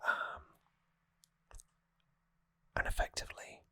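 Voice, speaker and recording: An ASMR host whispering an explanatory recap in a hushed, unhurried manner, close to the microphone. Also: dry recording.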